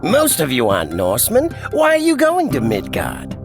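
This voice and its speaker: A man's voice: a little high, huge pitch swings, moderate pace, music in the background. A man voicing an eager, urgent dwarf miner in a fantasy video game cutscene, exclaiming as he talks. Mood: curious.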